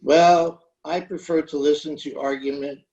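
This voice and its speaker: A man's voice: on the high side, steady tone, measured pace, gravelly, darkish tone, echoey room, dull audio. A man playing a mild, fussy old shopkeeper, hesitant and evasively polite, in an old-time radio comedy, recorded over a video call. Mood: noncommittal.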